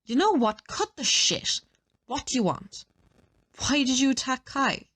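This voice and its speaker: A woman playing a blunt, angry, defiant young captive in an audio roleplay, speaking in a forceful way. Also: sits high, big pitch swings, a little slow, slightly rough, heavily acted. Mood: demanding.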